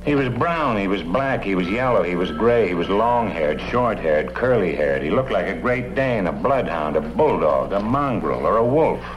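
An actor playing a talkative, plain-spoken police detective sidekick in an old-time radio drama. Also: male voice, deep voice, expressive, moderate pace, hardly any pauses. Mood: exasperated. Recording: dull, hissy old radio recording.

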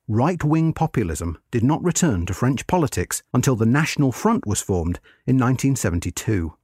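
Male article narrator: measured, formal scripted history read, animated pitch movement, close dry recording. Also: husky, dry recording.

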